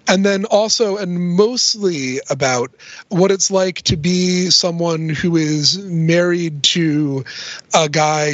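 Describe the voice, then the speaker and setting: A man with a somewhat high voice explains as a guest expert in casual discussion. The recording is dry and a little dull, with no room around him. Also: fairly bright tone, no echo.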